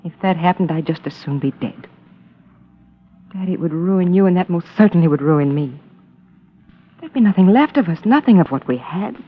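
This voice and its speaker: An actress playing an earnest, devoted young American daughter in a vintage radio drama, pleading with dramatic intensity. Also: female voice, lowish voice, lively intonation, normal speed, long pauses, slight background noise, lightly acted. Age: young adult. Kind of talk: 1940s-50s radio drama acting. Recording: dull old radio recording with hall reverb.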